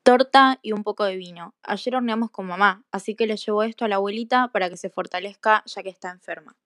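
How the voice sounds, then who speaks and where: A woman's voice: mid-range voice, fast, a bit bright, dry recording, studio quality, natural voice. A woman playing an innocent, polite young girl in a fairy tale radio play. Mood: trusting, friendly. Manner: naive, polite. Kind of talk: school radio play acting.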